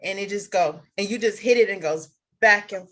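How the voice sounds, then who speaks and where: A woman in casual chat recapping a TV episode, animated and acting out the characters' lines as she goes. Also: medium pitch, normal speed, a little hiss or hum. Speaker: co-host of a TV show review.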